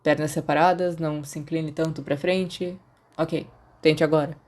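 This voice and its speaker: A woman's voice: low voice, fairly even intonation, moderate pace, a little mellow, lightly acted. A woman voicing a bookish, reserved, wry teenage boy in an audiobook, with a dry, instructive manner. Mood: patient instructing.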